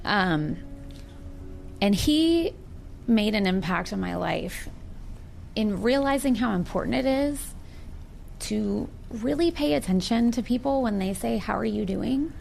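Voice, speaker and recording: A woman's voice: medium pitch, lively intonation, a little slow, vocal fry, pauses often, darkish tone, slight background noise. An American woman in her forties talking earnestly and reflectively in a sincere personal conversation. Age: 40s. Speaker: participant in a recorded one-on-one conversation.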